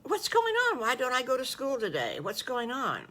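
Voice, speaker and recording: A woman's voice: sits high, huge pitch swings, normal speed, pushed and bright, voice pushed up, full character voice. An older woman voicing a six-year-old boy wolf in a bedtime story, wide-eyed and full of questions. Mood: excited.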